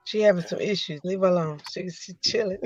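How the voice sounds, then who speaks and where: A woman's voice: on the low side, fairly quick, breathy edge, no echo, lo-fi sound, soft background music. A woman co-hosting a podcast, teasing and laughing in casual banter. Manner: amused, teasing, laughs often. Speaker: podcast co-host.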